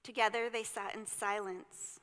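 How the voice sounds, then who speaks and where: A woman reads a picture book aloud in a large, reverberant church space. Her voice is bright and clear in its phrasing, and she takes her time, leaving dramatic pauses in a gentle, expressive telling. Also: medium pitch. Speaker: storyteller at a church service. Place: church sanctuary. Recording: hall reverb.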